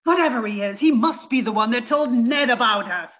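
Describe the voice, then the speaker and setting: Actress as an anxious high-voiced scheming wife. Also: female voice, lively intonation, brisk, a bit bright, muffled recording. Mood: urgent, scheming. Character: anxious, scheming adulterous wife in murder farce. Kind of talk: old-time radio comedy mystery acting.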